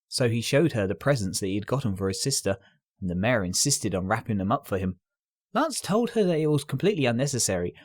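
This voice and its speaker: A man reading a scripted story aloud as narrator, in an expressive, earnest and dramatic storytelling style. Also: mid-range voice, no room sound, studio quality.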